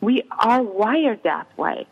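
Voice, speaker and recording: A woman's voice: a little high, animated, creaky, muffled recording. Middle-aged woman with a Czech accent, earnest. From Czech Republic. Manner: earnest, halting, repeats phrases for emphasis. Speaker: interview guest, intuition healer. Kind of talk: conversational interview.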